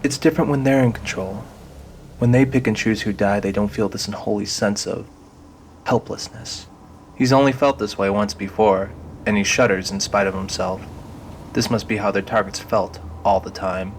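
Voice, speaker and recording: A man's voice: lowish voice, normal speed, slight background noise. A man narrating a scripted audio drama.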